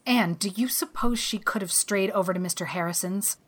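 A woman voicing a stern older woman who speaks briskly and sharply. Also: mid-range voice, a bit bright, lightly acted. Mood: worried.

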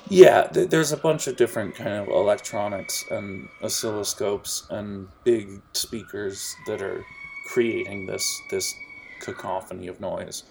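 A young man running a tabletop game as game master, narrating in a relaxed, halting way with many fillers. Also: on the low side, gravelly, background noise.

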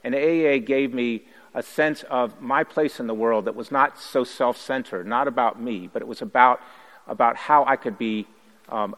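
A man's voice: mid-range voice, a bit bright. Earnest man speaking in a reverberant hall. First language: Haitian Creole. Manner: earnest, reflective, measured. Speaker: priest and pastor. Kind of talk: personal recovery testimony.

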